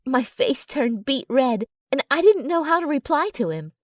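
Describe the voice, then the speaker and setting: Animated high-pitched woman reading a romance story. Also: normal speed, dry recording, studio quality. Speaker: audiobook narrator. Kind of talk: expressive first-person romance story reading.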